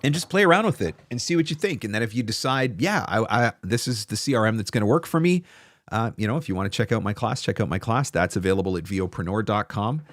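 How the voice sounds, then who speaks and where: A man's voice: lowish voice, expressive, fast talker, no room sound. A man in his forties giving casual, conversational advice as a livestream host, explaining things with emphatic repetition into a close studio microphone. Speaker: livestream host, voice-over coach. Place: small home studio room, indoors. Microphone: close desktop broadcast microphone.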